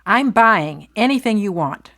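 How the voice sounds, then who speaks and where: A woman playing a concerned, blunt best friend in soap-opera dialogue for English learners, speaking deliberately and clearly. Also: medium pitch, lively intonation, a bit bright.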